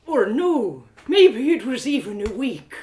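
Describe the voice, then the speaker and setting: A woman playing a cheerful, forgetful old male innkeeper who rambles slowly in an acted audio story. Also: on the high side, sing-song, mellow. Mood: musing.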